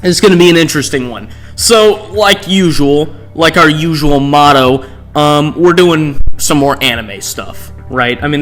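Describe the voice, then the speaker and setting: A man's voice: medium pitch, fairly bright tone. A man giving casual, unscripted commentary as a video host, rambling off on digressions and often checking in with a questioning tag. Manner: rambling, digressive, often tagging statements with "right?". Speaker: host of tier-list videos.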